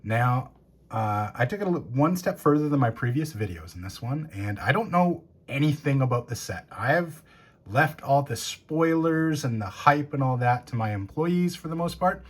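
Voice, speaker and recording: Raspy man opening card packs. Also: medium pitch, animated, moderate pace. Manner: enthusiastic, reads card names and rules aloud. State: hoarse after a cold. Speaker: game store owner. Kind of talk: casual box-opening commentary.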